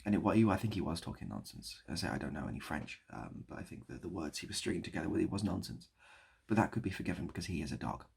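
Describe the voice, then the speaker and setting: Casting: British man, low-ish creaky voice, rambling improvised storyteller and online host, repetitive delivery. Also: expressive, fast talker, darkish tone. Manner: mock-nostalgic, repeats phrases and asks the listener to remember. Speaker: online video creator and host.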